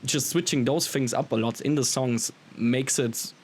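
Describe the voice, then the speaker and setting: A man's voice: mid-range voice, normal speed. A man with an Austrian accent, a native German speaker, talking casually and enthusiastically as a musician being interviewed. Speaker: musician guest on a podcast. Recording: clearly audible background hiss.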